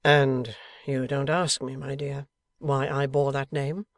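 Man playing a steady, affectionate Victorian husband, dry sound. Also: mid-range voice, no echo. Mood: gentle, probing. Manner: measured, formal, emphatic.

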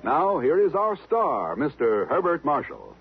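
A man's voice: on the high side, very animated, measured pace, phone-quality sound. A man announcing from a script in 1950s radio style, on an old broadcast. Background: loud music.

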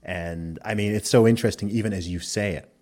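A man who grew up in Saudi Arabia, hosting a podcast interview and talking in a measured, reflective way through long arguments. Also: on the low side, a little mellow. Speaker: podcast host. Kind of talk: conversational interview.

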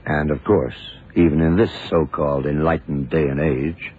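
Super deep gruff fbi man, dry probing questions, old tinny radio drama. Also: steady tone, moderate pace. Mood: probing, suspicious. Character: gruff, probing middle-aged male FBI investigator. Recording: muffled, noisy 1960s radio drama recording.

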